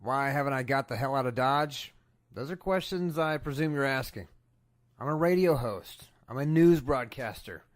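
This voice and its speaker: A man's voice: mid-range voice, normal speed, dry recording, natural voice. Man acting an earnest radio newsman, dry close sound. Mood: determined. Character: earnest male radio newsman reporting an apocalypse. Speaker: radio news broadcaster and host. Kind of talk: dramatic radio broadcast monologue.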